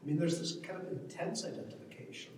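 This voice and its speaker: A man's voice: on the high side, lively intonation, a little mellow, far from the mic. Irish guy doing a book talk, keeps stumbling n repeating, super echoey hall, noisy recording. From Ireland. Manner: halting and hesitant, often repeating words as he searches for phrasing. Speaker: academic speaker commenting on a play. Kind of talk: informal literary lecture. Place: large echoing hall at a public event. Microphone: distant microphone.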